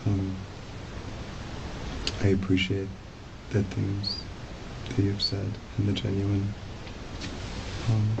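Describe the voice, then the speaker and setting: A noisy interrogation-room recording of a male suspect with a very creaky, low voice. He stammers through hesitant, overly courteous answers. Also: completely flat delivery, takes it slowly, long pauses, highs cut off. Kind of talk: halting police interview answers. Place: small indoor police interrogation room.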